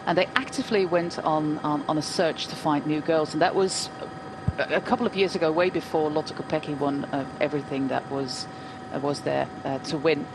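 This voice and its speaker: A woman's voice: deep voice, fairly even intonation, a little fast, background noise. A woman in her forties commentating a bike race, chatty and anecdotal with many ums. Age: 40s. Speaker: cycling commentator. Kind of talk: live race commentary chat. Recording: commentary over loud race ambience.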